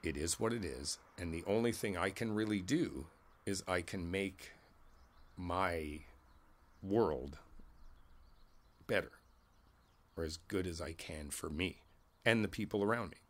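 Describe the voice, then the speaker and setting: A man playing a kindly, philosophical older neighbor in an ASMR roleplay, talking in an easygoing, folksy, rambling way with thoughtful pauses. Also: low voice. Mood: reflective, earnest. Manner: folksy, rambling, pausing, chuckling between thoughts. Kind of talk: ASMR roleplay, one-sided conversational monologue.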